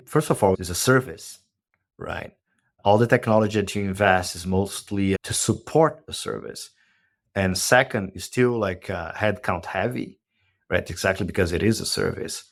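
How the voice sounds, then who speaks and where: Brazilian-accented guest in his 40s, very low voice, measured, explanatory delivery. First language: Portuguese. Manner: measured, explanatory, often checks with "right?". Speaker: guest, company co-founder. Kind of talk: podcast interview.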